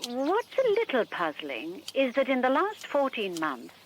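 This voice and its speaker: A woman's voice: high voice, wildly swooping pitch, a little slow, noisy background, exaggerated voice. A woman acting in a radio drama as a formal, precise and efficient assistant to a detective. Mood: businesslike.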